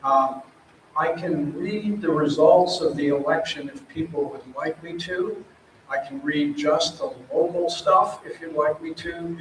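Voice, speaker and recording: A man acting as town moderator, speaking plainly and procedurally to a public meeting. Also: fairly high pitch. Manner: plain, procedural, some fillers. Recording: echoey hall, distant mic, room noise.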